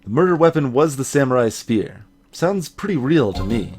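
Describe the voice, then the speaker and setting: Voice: mid-range voice, sing-song, normal speed, a little mellow, soft background music, close to an everyday voice. A let's player voicing a young male video game lawyer, wry, stammering and easily flustered. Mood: dry. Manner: exclamatory, stammering, dry.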